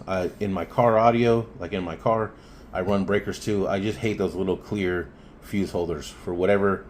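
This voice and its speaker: Low-voiced man giving a casual how-to. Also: darkish tone, a little hiss or hum. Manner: informal, plainspoken, thinking aloud. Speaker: hobbyist installer giving a how-to. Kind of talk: casual hands-on tutorial talk.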